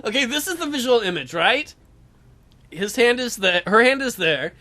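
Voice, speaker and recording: Female let's-play host: sarcastic, casual, lively swings in pitch, music underneath. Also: normal speed. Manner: joking, sarcastic asides. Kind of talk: casual gameplay commentary and dramatic reading.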